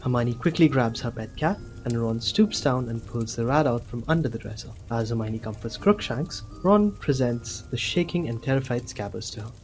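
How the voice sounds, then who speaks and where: A man narrating a scripted audio drama as a measured, descriptive storyteller. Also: medium pitch, lively intonation, faint music behind, close to an everyday voice.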